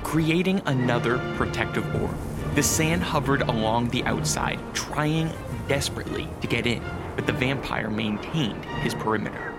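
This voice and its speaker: A man's voice: medium pitch, moderate pace, gravelly, over loud music. A man reading scripted narration for a radio drama as its narrator.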